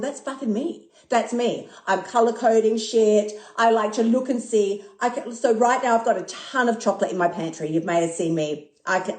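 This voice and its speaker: A woman in her fifties who talks excitably, swears a lot and laughs often during a casual live stream. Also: medium pitch. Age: 50s. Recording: echoey room.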